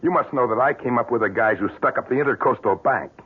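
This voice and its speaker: Man playing a husky, hard-boiled police inspector. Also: medium pitch, fast, lightly acted. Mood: eager, insistent. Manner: blunt, urgent, insistent. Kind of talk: 1970s radio drama acting. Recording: muffled tinny old radio broadcast.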